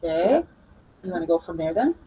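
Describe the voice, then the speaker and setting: A woman's voice: on the low side, sing-song, fairly quick, slightly rough. A woman presenting casually on a video call, chatty and encouraging, like a teacher talking to a group. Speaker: special education teacher presenting. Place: indoor school room, on a video call. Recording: dull webcam video-call audio.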